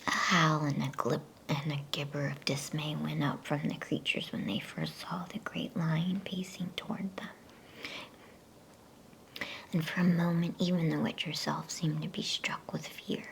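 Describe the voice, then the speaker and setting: A woman reads a storybook in an ASMR whisper, soothing and hushed. Her voice sits low with a creaky edge, and she moves slowly from phrase to phrase. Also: steady tone. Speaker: bedtime story reader.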